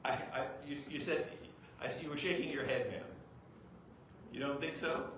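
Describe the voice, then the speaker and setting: A man's voice: medium pitch, moderate pace, fairly bright tone. A man, a rabbi, giving an informal talk to a group, with self-deprecating humor and frequent "right?" check-ins to his listeners. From United States. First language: English. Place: large echoey room with an audience. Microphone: clip-on mic, heard from a distance. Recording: very echoey hall, distant mic, faint noise.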